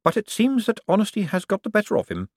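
A man's voice: high-pitched, wildly swooping pitch, quick pace, no room sound, studio quality, pitched above the performer's own voice, full character voice. A man voicing a prim, polite male secretary who speaks deferentially and precisely in an audiobook.

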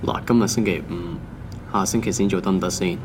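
A man's voice: low-pitched, fairly even intonation, fast talker, slight background noise, natural voice. An actor with a Hong Kong accent playing an ordinary office worker in his twenties, wry and colloquial, in a naturalistic audio drama. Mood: put-upon, pleading. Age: 20s. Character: ordinary young male office worker, teasing and put-upon.

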